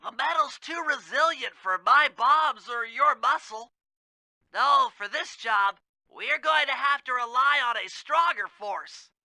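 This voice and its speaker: A man's voice: very high-pitched, expressive, a little slow, bright, forceful tone, no echo, exaggerated voice. A man voicing a brainy, anxious animal gadget expert in a cartoon video game, nasal and precise in formal technical phrasing. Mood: explaining, clever.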